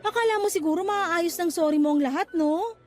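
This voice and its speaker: A woman's voice: very high-pitched, fairly quick, a little mellow, faint music behind. An actress in a radio drama playing a hurt, guarded young mother answering her ex with exasperated reproach. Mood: exasperated, sarcastic.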